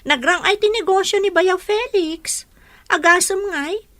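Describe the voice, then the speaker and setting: Radio drama in-law: envious gossip, Ilocano accent, high sharp voice. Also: female voice, measured pace, full character voice. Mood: envious, chatty.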